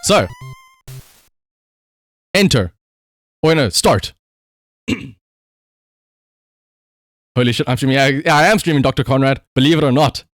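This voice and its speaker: A man doing casual livestream gaming commentary in an excitable, emphatic way, prone to shouting and swearing. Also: mid-range voice, a little slow, pauses often, no room sound, clean studio sound, soft background music. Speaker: live streamer.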